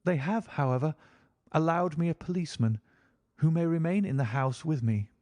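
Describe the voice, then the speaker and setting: A man reads as a frightened young gentleman, his voice lifted well above a mellow, dry baseline and pitched with earnest pleading. The helpless, anxious tone holds steady throughout. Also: moderate pace, no echo, close to an everyday voice. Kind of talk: audiobook character reading.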